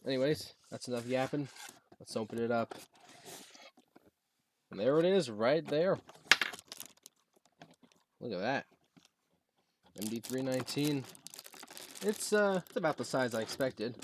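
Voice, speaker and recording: A young American man chatting casually through a home unboxing video, halting and rambling as he goes. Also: mid-range voice, noisy background. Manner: halting, rambling, reads box text aloud. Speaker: video creator showing a gadget. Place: basement room at home.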